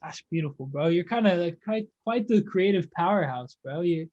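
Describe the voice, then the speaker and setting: A man's voice: sits high, lively intonation, normal speed, darkish tone, highs cut off. A young man interviewing casually, with a laid-back, slangy and affirming way of talking.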